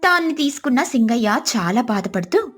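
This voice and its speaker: A woman's voice: fairly high pitch, very animated, moderate pace. A woman storyteller reading scripted narration of a moral tale.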